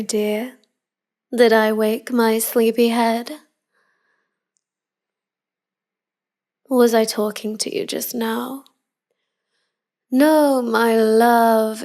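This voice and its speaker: A woman performs as a wistful, devoted vampire wife in an intimate ASMR roleplay. Her voice is high for a woman yet soft and half-whispered, with a creaky edge. She murmurs very slowly and gently, full of tender melancholy. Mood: gentle soothing. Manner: slow, gentle, murmured endearments.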